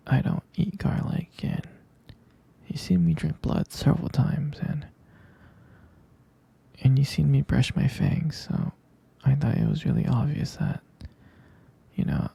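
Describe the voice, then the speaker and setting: A man playing a gentle, reassuring young vampire boyfriend in an intimate sleep-aid ASMR roleplay, murmuring tenderly and hesitantly in a breathy, husky whisper. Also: medium pitch, full character voice.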